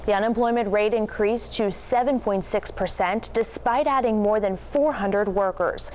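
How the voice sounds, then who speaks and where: A woman's voice: mid-range voice, normal speed, darkish tone. A woman anchoring a television newscast, reading scripted stories in a presenter's delivery. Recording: clearly noisy TV news aircheck.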